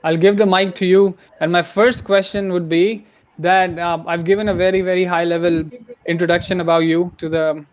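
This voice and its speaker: A man's voice: sits high, level tone, moderate pace. A man in his thirties hosting a conversational interview over a video call, using wired earphones. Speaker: interview host. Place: indoor room, remote video call. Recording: dull video-call audio.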